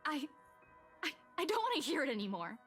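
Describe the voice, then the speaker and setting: Casting: fragile, grieving young woman for horror game, high pitch, music underneath. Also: very animated, brisk, a bit bright. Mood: upset, defensive. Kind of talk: visual novel voice acting.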